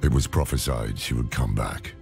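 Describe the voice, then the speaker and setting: A man playing a gruff, world-weary old hermit scholar with a dry wit, in a dramatic video game cutscene. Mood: grave. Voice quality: very deep, gravelly. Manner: slow, weighty, sardonic. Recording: loud music behind the voice.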